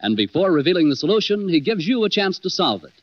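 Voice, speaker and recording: A man's voice: high voice, big pitch swings, fast. An American man reading a formal, declamatory scripted introduction as a 1940s radio announcer. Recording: muffled 1940s radio recording with organ.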